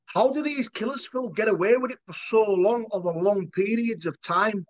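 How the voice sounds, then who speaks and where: High-pitched British man, dull audio. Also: moderate pace. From United Kingdom. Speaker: podcast host. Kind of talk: interview questioning. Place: indoor room, on a video call. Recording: dull, lo-fi video call audio.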